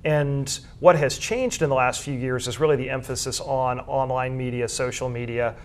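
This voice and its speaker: A man's voice: mid-range voice, steady tone, normal speed. A middle-aged man with an American accent, giving earnest, thorough explanations as an interview guest. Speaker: guest, school sports information director and journalism teacher.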